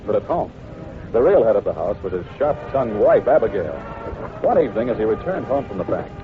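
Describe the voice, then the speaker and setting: A man's voice: on the low side, sing-song, a little fast. A man narrating an old-time radio adventure as its announcer, in a dramatic, scripted style. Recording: tinny old radio recording. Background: music.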